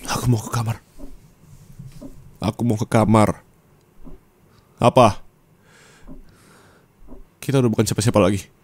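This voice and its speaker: A man's voice: lowish voice, steady tone, measured pace, pauses often, darkish tone. A young man playing an angry, hurt boyfriend in an ASMR roleplay, confronting his partner and demanding answers. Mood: bitter.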